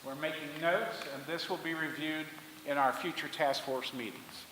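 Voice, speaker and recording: A man leads a public meeting with the measured cadence of a trained preacher. His voice is bright and forceful and sits a little higher than most men's. It rings through a reverberant hall with a faint hiss underneath. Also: steady tone. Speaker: meeting facilitator.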